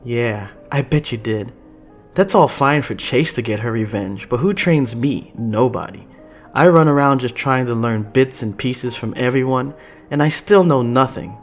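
A man's voice: mid-range voice, unhurried, few pauses, faint music behind, natural voice. A man voicing a pouting, defiant young female fighter in a petulant, indignant way for a story.

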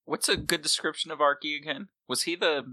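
A man's voice: a little high, a little fast, sharp tone, no room sound, rough recording. A man playing a tabletop game, bantering casually in a crude, teasing way.

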